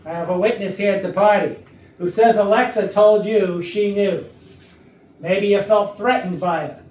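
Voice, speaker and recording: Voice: mid-range voice, steady tone, normal speed, a little mellow, close to an everyday voice. An actor playing a blunt detective who presses suspects with authority in a staged murder mystery comedy. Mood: accusing. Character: blunt, probing detective leading a murder interrogation. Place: rehearsal room for a staged reading. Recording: echoey room, distant mic, background noise.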